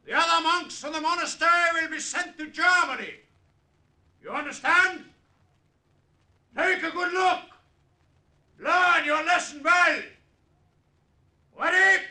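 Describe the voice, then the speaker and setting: An actor playing a ruthless Nazi officer, barking menacing orders in a declamatory 1940s radio style. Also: male voice, very high voice, bright, forceful tone, full character voice. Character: ruthless Nazi officer commanding a firing squad. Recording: echoey vintage radio recording.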